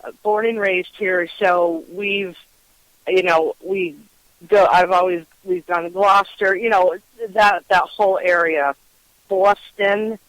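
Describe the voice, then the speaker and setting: A woman hosts an interview in an excitable, talkative way. She is from Western Massachusetts. Her voice is recorded dry and close, with no echo. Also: on the low side, takes it slowly. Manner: excitable and chatty. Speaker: host. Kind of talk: casual interview chat.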